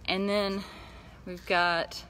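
A woman's voice: mid-range voice, flat delivery, extremely slow, a bit bright, slight background noise, low-quality recording. A middle-aged woman giving a chatty, laughing walking tour of tiny homes she builds. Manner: chatty, laughs easily, guiding viewers around. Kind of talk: casual walking tour chat. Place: outdoor building lot and inside tiny houses.

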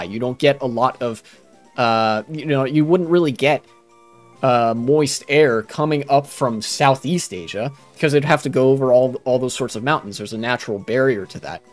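A young adult man, rambling casually and earnestly as he explains things during a game stream. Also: medium pitch. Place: small room at home, indoors. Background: game music.

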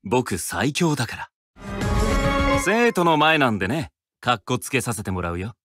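An actor playing a breezy, supremely self-assured young sorcerer teacher in an anime game, cocky and nonchalant. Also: male voice, mid-range voice, very animated, moderate pace, over loud music, close to an everyday voice. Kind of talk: Japanese anime game voice acting.

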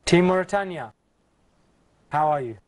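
A man's voice: a little high, animated, measured pace, a little mellow. A man in his thirties hosting a TV quiz show in a studio, friendly and upbeat, on a lapel mic.

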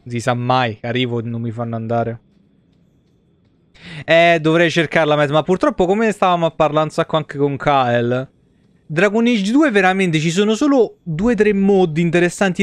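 A man's voice: a little high, normal speed, no echo. A man gives casual, joking, sweary live commentary on a game. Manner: informal, joking, swears freely. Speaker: live streamer. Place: indoor room, seated at a desk.

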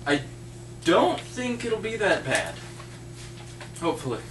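Young man, casual table-read actor, animated, distant camera mic, echoey room, loud group chatter. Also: sits high, takes it slowly, a little hiss or hum. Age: young adult. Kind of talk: casual group banter and script reading. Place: small living room, indoors. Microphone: handheld camera across the room.

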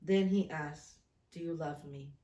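A woman's voice: low voice, a little slow, dark tone, highs cut off. A woman in her thirties chatting casually and reading aloud in a self-mocking, storytelling way. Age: 30s. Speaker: vlog host. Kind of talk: casual chat and reading aloud from old journal. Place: small room indoors at a table. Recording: echoey room.

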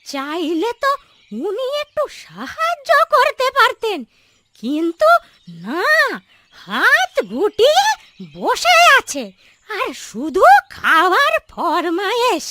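A woman's voice: very high-pitched, huge pitch swings, takes it slowly, sharp tone, a little hiss or hum, full character voice. A woman playing an exasperated, sly old village grandmother in a cartoon story, with an emphatic, complaining manner. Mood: exasperated grumbling. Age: older. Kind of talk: Bengali cartoon story voice acting. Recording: music behind the voice. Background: music.

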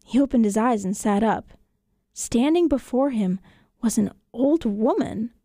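Animated, high-voiced woman storyteller. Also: measured pace, no echo, studio quality. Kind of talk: expressive children's audiobook narration. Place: radio studio.

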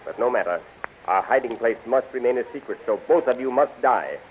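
A man's voice: medium pitch, animated, brisk, close to an everyday voice. A man playing a stern, commanding alien prince in theatrical 1930s radio serial style. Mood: menacing, stern. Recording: muffled, hissy 1930s radio recording.

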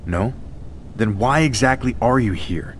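An actor playing a wary, cynical male vampire in hiding, gruff and dismissive, in a video game cutscene. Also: mid-range voice, lively intonation, measured pace, a little hiss or hum, natural voice. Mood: suspicious.

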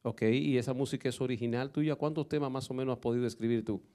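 Upbeat man hosting live TV, mellow voice. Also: mid-range voice, steady tone, fast. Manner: upbeat, announcer-like. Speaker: TV host. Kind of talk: live cable TV interview and stage introduction. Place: small TV studio. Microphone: handheld mic.

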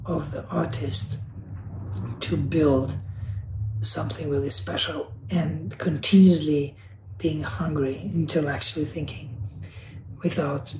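A man's voice: a little high, echoey room, background noise. A man from Kosovo, speaking as an artist on a panel over a video call, earnest and searching, often restarting and repeating words. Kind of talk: reflective panel talk.

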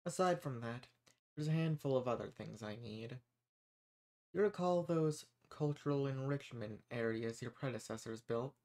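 A man voicing an ancient, dominant archdemon in a scripted roleplay audio, smug and amused, talking down to the listener with drawn-out emphasis. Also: medium pitch, a little mellow, close to an everyday voice. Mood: smug, commanding, then matter-of-fact.

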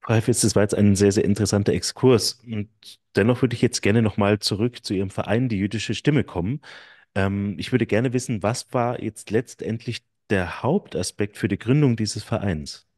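A man asks formal, polite interview questions into a studio microphone on a boom arm. His voice is fairly low and sounds close and dry, with no room echo. He speaks courteously and steers the conversation with care. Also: normal speed, a little mellow. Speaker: interviewer and magazine editor. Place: room with a grand piano. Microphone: studio mic on a boom arm.

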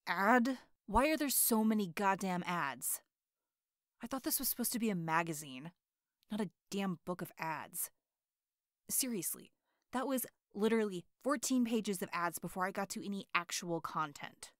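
A woman's voice: lowish voice, no echo, clean studio sound. A woman voicing a brash, hot-tempered teenage boy hero in an audio roleplay; he swears constantly, boasts, and snaps at everyone.

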